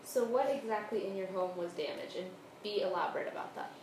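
A woman's voice: medium pitch, fairly quick. A woman asking prepared interview questions inside someone's home. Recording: roomy echo, clear background noise.

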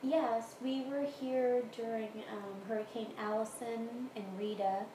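A woman's voice: on the high side, fairly even intonation, a little slow. American woman in a noisy, echoey room. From Houston area, Texas, United States. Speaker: interviewee. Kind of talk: casual interview answers, recounting personal experience. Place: room in a home. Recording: roomy echo, clear background noise.

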